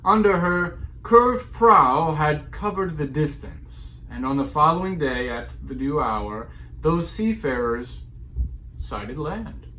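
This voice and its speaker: A man narrating epic verse as a dramatic storyteller, solemn and theatrical, with deliberate pauses and swells. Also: on the high side, animated, sharp tone. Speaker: storyteller performing an epic poem. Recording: clearly noisy recording, background ambience.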